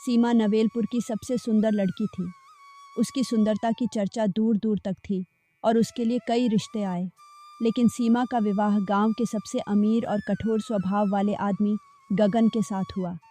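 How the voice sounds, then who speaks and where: A woman narrating a cartoon folk story in a steady, measured way. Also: medium pitch. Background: faint background music.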